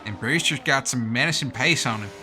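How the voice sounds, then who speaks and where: Man, very bright put-on American commentary voice. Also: mid-range voice, a little fast, a little hiss or hum. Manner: informal, slangy. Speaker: race commentator and streamer. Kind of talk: live sim-racing commentary with casual asides.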